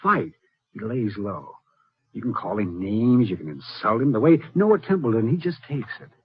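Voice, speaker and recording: A man's voice: on the low side, very animated, normal speed, breathy edge. An actor with an American accent playing a chatty, gossipy small-town man in a radio western, talking in a folksy, scornful way. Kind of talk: old-time radio western drama acting. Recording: dull vintage radio recording.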